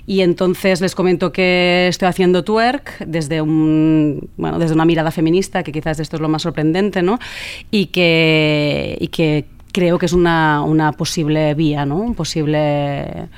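A woman, a journalist and author, talking as a radio guest in a relaxed, thoughtful way, pausing and laughing often. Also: low-pitched, clear, resonant tone. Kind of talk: casual interview talk.

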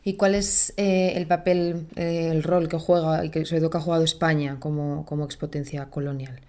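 A woman's voice: low voice, flat delivery, normal speed, warm tone. A woman interviewer putting a question to a guest.

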